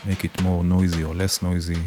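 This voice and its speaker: A man hosting a modular synth tutorial, explaining modules in a relaxed way and often saying "right" and "let's say". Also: sits low, fairly quick. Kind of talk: demonstrating modules while talking. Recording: music playing behind the voice.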